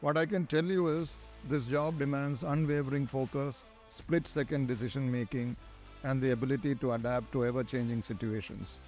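A middle-aged man with an Indian accent answers as an expert guest. His voice is medium in pitch, his replies sound prepared, and music plays behind him. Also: steady tone, moderate pace, a little mellow. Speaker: guest, air traffic controller in charge. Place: large glass-walled airport tower room. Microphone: lapel. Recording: music under the voice. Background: background music.

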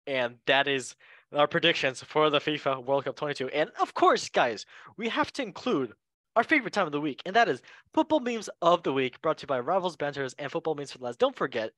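A man hosts a casual football chat show with a bright, forward voice. He is a non-native English speaker who talks in a jokey, excitable manner. The sound is dry, with no room on it. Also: on the high side, dry recording. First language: not English. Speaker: show host.

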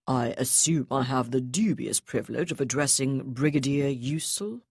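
A male navy commodore , read by a woman, speaks with icy, clipped precision and open disdain. His tone stays calm and controlled. The voice sits low and the recording is dry. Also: normal speed, no room sound, full character voice.

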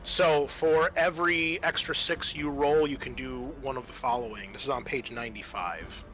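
A man's voice: medium pitch, steady tone, normal speed, low-quality recording. A man acting as game master, patiently explaining tabletop roleplaying rules in a casual, conversational way. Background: music.

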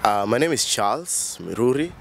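A man's voice: mid-range voice, lively intonation, normal speed, creaky, background noise. A man from Kenya, a security analyst, introducing himself casually outdoors. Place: outdoors on a sunny campus.

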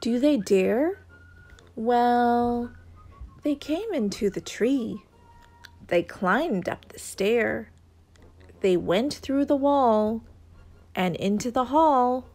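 A woman narrating a picture book aloud in a deliberate, suspenseful storytelling manner for young children. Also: fairly high pitch, expressive, dark tone. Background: music.

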